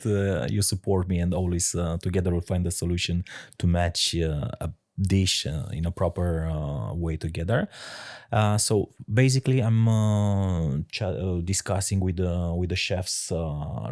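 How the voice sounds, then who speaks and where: A man from Romania, a sommelier guest answering interview questions in halting English with many fillers and laughs. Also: low-pitched, dark tone. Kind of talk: casual interview talk.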